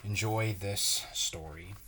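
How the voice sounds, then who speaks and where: Slow-paced man reading to children. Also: on the low side, bright, forceful tone, slight background noise. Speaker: pastor reading a children's book aloud. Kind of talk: children's story read-aloud.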